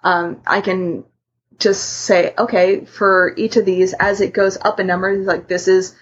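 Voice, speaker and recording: Female podcast panelist, mid-pitched and raspy, explaining things casually in halting steps, slightly muffled lo-fi sound. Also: little pitch movement. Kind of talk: casual chat, explaining a personal method. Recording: muffled lo-fi voice recording.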